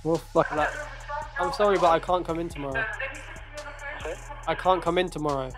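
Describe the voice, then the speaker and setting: Young man, slightly high voice. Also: normal speed, over music. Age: young adult. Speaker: prank call video host. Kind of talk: casual chat and prank calls. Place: small bedroom, indoors.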